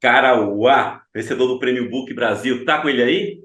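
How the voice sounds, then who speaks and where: A man's voice: mid-range voice, a little slow, fairly bright tone. A man from Pernambuco, hosting a casual interview show, with friendly, exclamatory reactions. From Pernambuco, Brazil. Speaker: interview host. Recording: echoey room.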